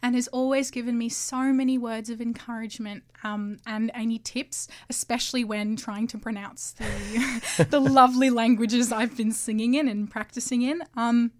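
Teenage girl, student singer, earnest, a bit high-pitched. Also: steady tone, normal speed, hardly any pauses.

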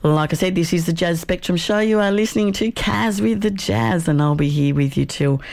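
A woman with an Australian accent presenting on community radio, relaxed and chatty, her voice croaky today. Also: lowish voice, moderate pace. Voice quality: gravelly. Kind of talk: casual live radio links.

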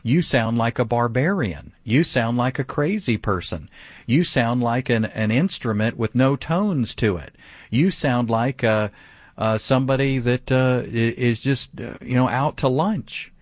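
A man's voice: mid-range voice, animated, a little slow, no echo. A man teaching the Bible on a radio broadcast, emphatic and full of rhetorical questions. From grew up speaking some Vietnamese. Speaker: pastor.

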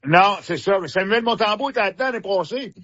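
A man's voice: high voice, fast talker, a bit bright. A man with a Quebec French accent, a former hockey player chatting casually as a sports commentator, rambling with many restarts and fillers. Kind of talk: casual radio sports chat. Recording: muffled radio broadcast.

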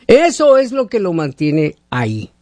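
A man's voice: high voice, huge pitch swings, measured pace, a little mellow, dry recording. A man hosting a radio call-in show, chatting casually in a folksy, talkative way and often asking rhetorical questions. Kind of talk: casual opinionated on-air chat with callers.

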